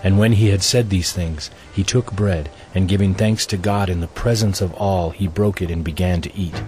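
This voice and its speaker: A man narrating a scripture audio drama in a measured, solemn storytelling manner, reading from a script. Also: low voice, fairly even intonation, darkish tone, poor audio. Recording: music bed under the voice.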